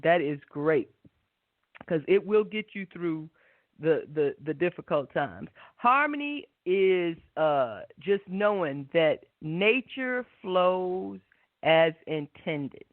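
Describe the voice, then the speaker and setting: A woman hosting a spiritual talk and guided meditation, speaking in an unhurried, reflective way and often checking for agreement. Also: on the low side, sing-song, no echo. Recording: tinny narrow phone-like line.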